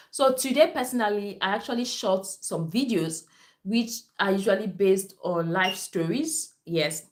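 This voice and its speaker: Nigerian woman hosting a live stream. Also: lowish voice. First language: Esan. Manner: repetitive, addresses viewers directly. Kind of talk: livestream reading viewer comments.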